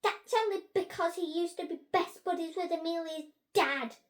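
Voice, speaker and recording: A woman's voice: very high voice, animated, drawn-out words, a little mellow, voice pushed up, heavily acted. A woman voicing a spiteful, gossipy young ghoul girl in a storybook, gushing and exaggerated.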